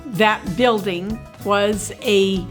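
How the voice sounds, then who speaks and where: A measured American woman telling local history as a museum director, speaking very slowly at a mid pitch. Faint music sits under her voice. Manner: measured, unhurried storyteller. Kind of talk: storytelling in an interview.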